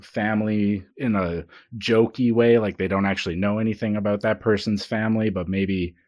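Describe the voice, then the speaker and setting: A man's voice: lowish voice, fairly even intonation, moderate pace, darkish tone, dry recording, clean studio sound. A man co-hosting a podcast, talking casually and informally as he thinks aloud. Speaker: podcast co-host. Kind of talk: casual conversational discussion.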